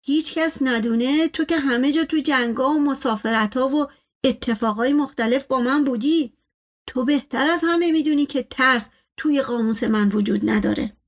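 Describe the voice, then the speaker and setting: Woman playing a stern, pompous older patriarch. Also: high-pitched. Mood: pompous, insistent. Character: imperious, suspicious, proud older male family patriarch.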